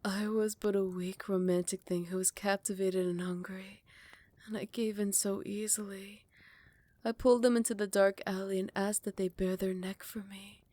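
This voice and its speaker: A woman's voice: medium pitch, flat delivery, normal speed, no echo, close to an everyday voice. A woman voicing a haughty vampire queen. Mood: whispered reminiscence. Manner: hushed whispered storytelling broken by sharp, scornful retorts. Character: proud, haughty female vampire queen, centuries old. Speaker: voice actor. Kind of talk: ASMR roleplay.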